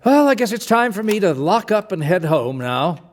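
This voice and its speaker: A man acting as the genial, wistful narrator of a storybook radio play, with theatrical, measured phrasing. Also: high voice, big pitch swings. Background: music.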